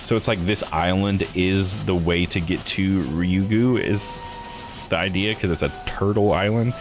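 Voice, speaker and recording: A man's voice: deep voice, a little slow. A man hosting a game playthrough, reading text aloud and musing to himself in casual live commentary. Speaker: host of a visual novel live playthrough. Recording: music behind the voice.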